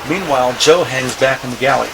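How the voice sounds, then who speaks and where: A man reading scripted audio drama narration in a measured, descriptive storytelling manner. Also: mid-range voice, creaky, background noise. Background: music.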